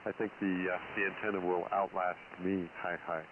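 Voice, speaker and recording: Thin, noisy radio transmission: older male ham operator, lowish voice, casual on-air exchanges. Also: level tone, normal speed. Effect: received over radio. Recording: noisy narrow-band shortwave radio with hiss.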